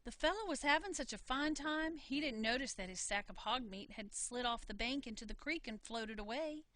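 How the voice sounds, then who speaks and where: A woman reading a folksy tale in an animated storytelling style, full of country dialect. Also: on the high side, moderate pace, no echo. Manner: folksy, dialect-laden telling. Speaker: storybook reader.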